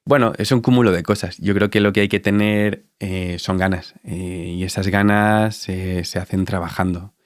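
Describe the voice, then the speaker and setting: Deep-voiced Andalusian man in his thirties, guest on an interview; reflective, halting delivery, dry close mic. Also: steady tone, no room sound. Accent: Andalusian Spanish. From Spain. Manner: reflective, halting with many fillers. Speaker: dentist guest. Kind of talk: relaxed interview answers.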